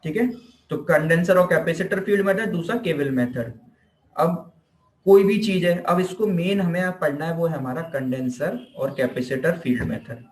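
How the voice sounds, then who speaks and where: Indian man lecturing, higher-pitched voice, echoey room. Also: normal speed. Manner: repeats and rephrases points, asks and answers own questions. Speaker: physiotherapy teacher. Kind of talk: informal explanatory classroom lecture, Hindi mixed with English terms.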